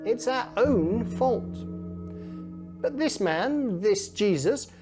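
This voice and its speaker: A woman's voice: mid-range voice, animated, extremely slow, voice pushed up. A woman voicing a repentant condemned man in a children's storybook, earnest and reproving. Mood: indignant, earnest. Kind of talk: children's storybook character reading. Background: music.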